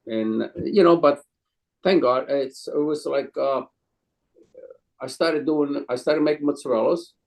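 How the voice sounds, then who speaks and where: A man in his sixties from Calabria, Italy, speaking English with an Italian accent as an interview guest, telling his story in a hesitant, rambling way with many pauses and some non-native phrasing. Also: a little high, a little mellow. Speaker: guest, chef and caterer.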